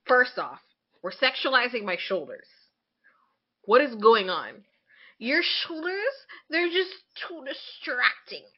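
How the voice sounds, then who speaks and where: Animated young woman, fairly high voice. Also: takes it slowly, highs cut off. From Toronto, Canada. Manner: sarcastic, exasperated, slangy. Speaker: podcast co-host. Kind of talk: casual chat.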